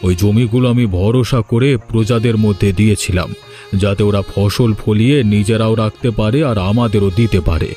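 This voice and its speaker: A man's voice: lowish voice, steady tone, normal speed, mellow, close to an everyday voice. A man playing a worried, kindly middle-aged village landlord in a cartoon, speaking earnestly over music. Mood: worried, sombre. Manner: earnest, troubled. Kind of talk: dramatic cartoon dialogue. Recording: music behind the voice.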